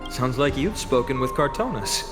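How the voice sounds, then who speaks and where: A man's voice: mid-range voice, expressive, normal speed, lightly acted. A man playing an earnest, loyal young hero in video game dialogue, speaking sincerely and conversationally. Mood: earnest, thoughtful. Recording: reverberant, music behind.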